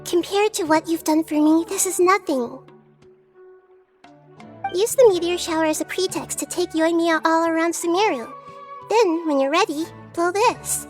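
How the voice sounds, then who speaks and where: A woman voicing a gentle, wise, childlike little deity in a video game, speaking in a measured, thoughtful way. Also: very high-pitched, faint music behind. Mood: helpful.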